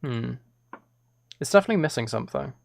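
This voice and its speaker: A man's voice: mid-range voice, lively intonation, quick pace, gravelly. A man chatting casually as he works on music on a live stream, musing aloud in a self-deprecating way. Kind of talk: casual chat while working.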